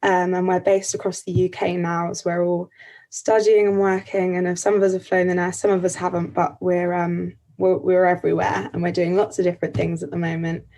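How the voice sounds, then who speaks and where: A woman's voice: on the low side, little pitch movement, fairly quick, mellow, low-quality recording. An eighteen-year-old woman from Kent moderates a panel over a video call, friendly and chatty, with frequent ums. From Kent, England. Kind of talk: casual panel introduction.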